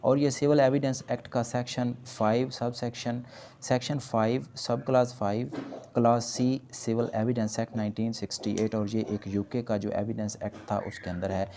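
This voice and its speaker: A man's voice: mid-range voice, mellow. A man with a Pakistani accent giving an explanatory law lecture, reading points aloud carefully and explaining them conversationally. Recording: noticeable background noise.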